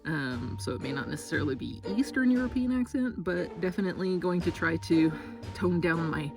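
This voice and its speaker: American female voice actor, lower register, informal behind-the-scenes chat, a bit off-mic, music underneath. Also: fairly quick, gravelly, recorded from a distance. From United States. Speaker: voice actor, video host. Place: small recording booth.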